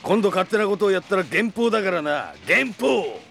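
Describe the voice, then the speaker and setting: A man voicing a stern, no-nonsense police chief who gives brisk commands. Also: high-pitched, very animated, creaky, a bit bright, soft background music, full character voice. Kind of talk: anime drama CD acting.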